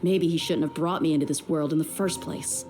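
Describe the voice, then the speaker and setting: A woman's voice: low-pitched, steady tone, brisk, loud music behind the voice, lightly acted. An actress playing a tough young woman mercenary in a video game, blunt and world-weary, with a guarded, hurt edge. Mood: bitter, frustrated. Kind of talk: dramatic video game dialogue.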